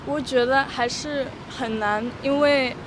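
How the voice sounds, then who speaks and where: A young woman from Austria with a high, light voice, talking in a halting way with plenty of fillers and easy laughter. She is interviewed outdoors on a city street, and loud traffic rumbles behind her. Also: fairly even intonation. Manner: hesitant, many fillers, laughs easily. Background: traffic and passing vehicles.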